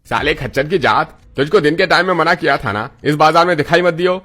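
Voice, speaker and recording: A man's voice: a little high, a little fast, fairly bright tone. A man playing a bullying, abusive street policeman in a cartoon story, coarse and threatening. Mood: angry, threatening.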